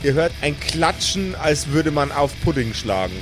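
A man acts as game master, narrating an improvised tabletop adventure. His voice sits a little higher than average for a man. Guitar music plays clearly behind him. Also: expressive, moderate pace.

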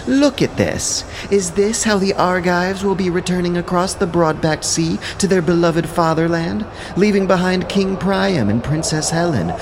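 A man's voice: fairly high pitch, background noise, pitched above the performer's own voice. A man voicing an indignant, imperious goddess queen with declamatory, commanding speech in an epic audio drama.

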